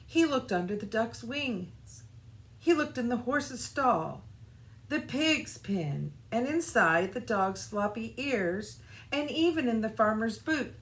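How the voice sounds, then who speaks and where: A woman reading a children's storybook aloud in an expressive, deliberate way, as scripted narration. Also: a little high. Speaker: children's storybook reader, church music director. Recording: roomy echo, faint background noise.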